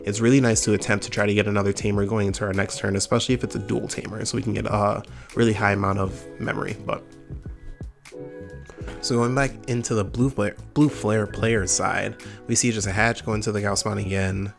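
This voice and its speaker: A man's voice: lowish voice, steady tone, creaky, faint music behind. A young man hosting a card game channel, giving casual gameplay commentary with a slightly nasal voice from a summer cold. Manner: fast, upbeat, casual gamer talk.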